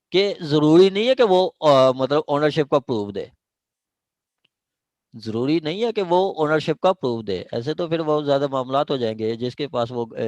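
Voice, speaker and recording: Pakistani male law lecturer, slightly high voice, dry close sound, explanatory, repetitive phrasing, informal teaching. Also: a little mellow, no room sound. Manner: explanatory, repeats phrases and checks understanding.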